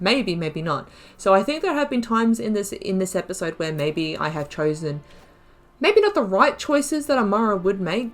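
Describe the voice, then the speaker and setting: A woman's voice: on the low side, a bit bright. A woman giving casual, reflective game commentary, chatty and rambling as she thinks aloud, repeating and stressing words. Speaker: host of a gaming playthrough series.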